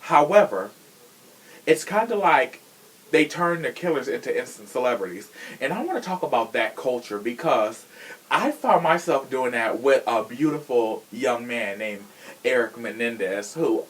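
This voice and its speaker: A man hosts a chatty, informal podcast with plenty of sass. His pitch moves around freely and animatedly, and his delivery is theatrical and conversational. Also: sing-song, moderate pace, low-quality recording. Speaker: podcast host. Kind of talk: casual chatty podcast talk.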